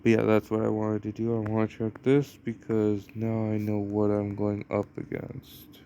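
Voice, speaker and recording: A man's voice: on the low side, monotone, normal speed, warm tone, slight background noise. A young American man casually commenting on a mobile game he is playing, rambling and hesitant with lots of fillers. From United States. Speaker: gameplay commentator.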